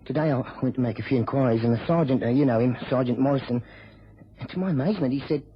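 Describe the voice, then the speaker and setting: A man's voice: mid-range voice, quick pace, slight background noise, close to an everyday voice. An actor playing an anxious, earnest British policeman in a radio drama, apologetic and agitated. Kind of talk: mid-century radio drama acting. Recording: muffled old radio broadcast.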